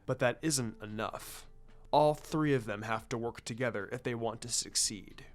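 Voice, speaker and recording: A man voicing a laid-back, teasing ninja teacher in a casual, unhurried, cool manner. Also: mid-range voice, soft background music, voice pushed down, lightly acted. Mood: pensive.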